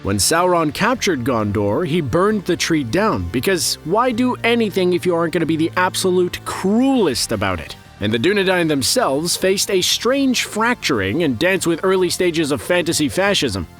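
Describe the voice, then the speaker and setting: A man's voice: on the high side, big pitch swings, moderate pace, few pauses, fairly bright tone, soft background music. A man narrating a scripted explainer in a wry, playful, conversational way, with joking asides. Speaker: presenter of a fantasy lore explainer video.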